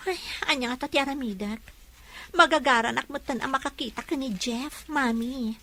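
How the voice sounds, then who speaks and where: A woman's voice: high-pitched, normal speed, sharp tone, a little hiss or hum. A woman playing a headstrong, eager young woman in a radio drama. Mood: pleading.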